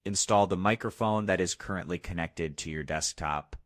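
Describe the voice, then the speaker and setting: A man narrating a scripted step-by-step software tutorial as its host. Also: low voice, moderate pace, dry recording.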